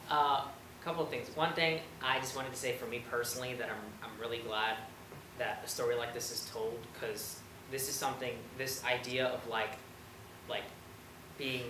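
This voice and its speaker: A man's voice: medium pitch, steady tone, normal speed. A young man from the United States, an audience member making a casual, rambling personal comment and question with many hesitations. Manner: hesitant, rambling, filler-heavy. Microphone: distant camera mic. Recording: roomy, distant mic, noisy background.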